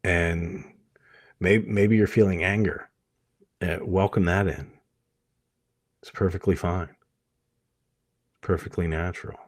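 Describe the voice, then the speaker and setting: A man leading a guided hypnosis meditation, speaking gently and soothingly in short phrases with many pauses. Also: sits low, steady tone, no echo, clean studio sound.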